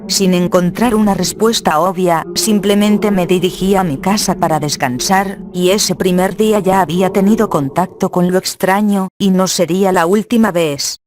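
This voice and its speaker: A narrator calmly reading a scripted horror story in an unhurried way. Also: medium pitch. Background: faint music.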